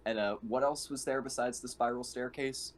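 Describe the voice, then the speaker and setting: A man's voice: mid-range voice, fairly even intonation, moderate pace. A man chatting casually as a roleplaying game player, dryly joking as he describes his character's actions.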